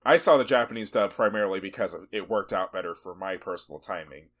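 A man's voice: low-pitched, fast. A man co-hosting a podcast, chatting casually about a film, rambling a little, laughing often and swearing for emphasis. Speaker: podcast co-host. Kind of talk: casual movie review chat.

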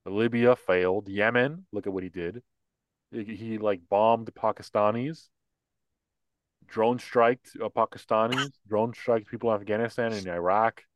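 A man's voice: on the low side, fairly even intonation, unhurried, no room sound. Opinionated man in casual political chat. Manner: opinionated, mocking, acts out quotes. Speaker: podcast co-host.

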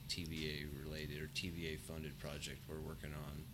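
A middle-aged engineering professor talking casually in a university lab, with a low, mumbling voice that halts and restarts. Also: male voice, monotone, noisy background. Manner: halting, restarts and trails off. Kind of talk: casual conversation. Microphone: shared podcast mic.

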